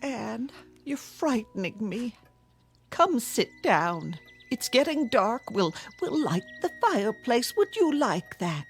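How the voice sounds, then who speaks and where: A woman's voice: on the high side, very animated, slow, husky, full character voice. An actress playing a worried older mother in a radio drama, anxious, chiding and pleading. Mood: anxious, soothing. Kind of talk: old-time radio drama acting. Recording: radio drama with music underneath.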